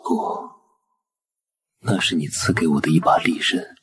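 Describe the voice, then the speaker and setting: A man acting a menacing, possessive tycoon in a Chinese drama, with a husky, breathy voice. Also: very deep voice, measured pace, background noise. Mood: obsessive, menacing. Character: menacing obsessive male mafia-style tycoon, intense and possessive. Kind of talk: Chinese audio drama dialogue.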